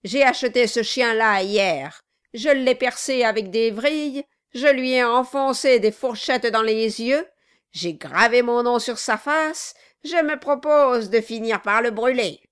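A woman voicing a cruel, violent male dwarf in an audiobook, loud and mocking. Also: fairly high pitch, a little slow, clean tone, pitched above the performer's own voice, close to an everyday voice. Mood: menacing. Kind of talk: audiobook reading.

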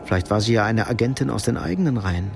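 An actor narrating a Cold War thriller radio drama in a sober, measured, literary manner. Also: male voice, lowish voice, lively intonation, creaky, music in the background. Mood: sober, suspenseful. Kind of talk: scripted narration.

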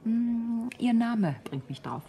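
An actress in a German radio drama playing a poised, self-assured society woman with a measured, gently challenging manner. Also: fairly high pitch, lively intonation, gravelly, exaggerated voice. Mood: probing. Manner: cool, coquettish, measured.

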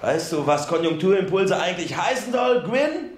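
Humble middle-aged small-town candidate, radio drama, halting and sincere, slightly high voice, roomy hall echo. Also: male voice, expressive, slight background noise. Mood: mocking, amused. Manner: halting, unpolished, earnest public speaking. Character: plain-spoken ordinary Welsh townsman, humble reluctant political candidate. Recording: echoey public hall sound.

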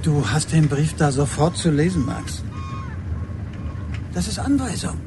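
An actor playing a dutiful, stubborn official in a radio drama, speaking stiffly in clipped, insistent phrases. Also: male voice, fairly high pitch, big pitch swings, a little slow, noisy background. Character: dutiful, stubborn male official delivering orders.

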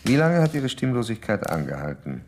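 A man's voice: mid-range voice, very animated, darkish tone, slight background noise, lightly acted. A man playing a self-assured, pedantic psychoanalyst in a German radio drama, speaking formally and clinically. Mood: insistent questioning. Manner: formal, measured, interrogating.

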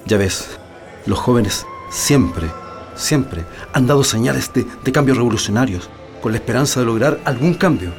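A man's voice: mid-range voice, animated, normal speed, close to an everyday voice. Male actor for defiant prisoner comrade, radio drama, Chilean accent, middle register, prominent music bed. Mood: resolute. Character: defiant male political prisoner, steadfast comrade with quiet resolve.

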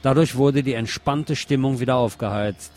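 A man hosting a radio show, presenting commentary and reading news aloud in a deliberate way with pauses. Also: mid-range voice, a little mellow.

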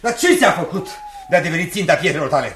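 An actor playing a brusque, scheming gentleman in a radio drama, theatrical, swinging between barking and hushed murmurs. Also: on the high side, animated, a little hiss or hum. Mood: scolding. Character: commanding, scheming gentleman, brusque then furtive.

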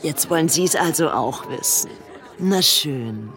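A woman's voice: sits low, animated, takes it slowly, fairly bright tone, soft background music, full character voice. An actress playing a nonchalant, teasing young society woman chatting in a radio play. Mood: blasé, teasing. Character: young society woman, blasé, playful, gossipy party-goer. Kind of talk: radio drama dialogue.

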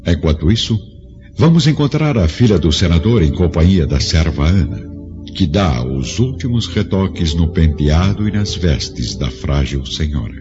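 A very low-pitched Brazilian man narrates a radio drama with solemn, literary phrasing. The sound is dull and lo-fi, with music underneath. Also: sing-song, normal speed, mellow.

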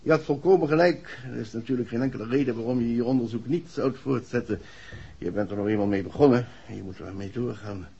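An actor playing a proud, uneasy husband, husky, formal and emphatic, in a 1960s radio play. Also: male voice, mid-range voice, fast talker, mellow. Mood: stiffly apologetic. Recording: dull old radio recording.

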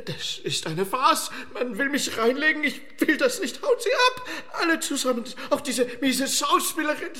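A man's voice: very high-pitched, sing-song, normal speed, few pauses, bright, forceful tone, heavily acted. An actor playing an anxious, ageing father in a radio play, stammering and repeating himself as he talks. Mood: agitated, indignant. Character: flustered, anxious ageing father hiding guilt.

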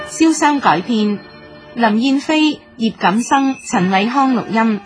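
Female Hong Kong Cantonese announcer for drama credits: formal, slow, melodic swings in pitch, prominent music bed. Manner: measured, formal. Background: loud music.

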